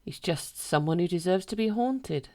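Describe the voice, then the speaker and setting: A woman voicing a cautious, matter-of-fact male ghost in a story reading, world-weary and deadpan. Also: on the low side, moderate pace, close to an everyday voice. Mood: secretive.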